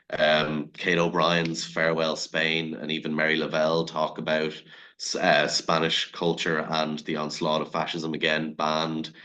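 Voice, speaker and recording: A man's voice: extremely low pitch, pushed and bright, highs cut off. A man giving an off-the-cuff scholarly answer in an academic discussion, in wordy, formal phrasing. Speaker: academic panel speaker.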